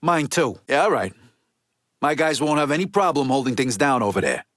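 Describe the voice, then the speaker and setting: A gruff American mobster from a video game, voiced by a male actor, speaking in a medium register. He is blunt, streetwise and resentful, with profanity worked into his talk. The recording is dry, with no room around the voice. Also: mid-range voice, dry recording, natural voice. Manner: blunt, streetwise, heavy swearing.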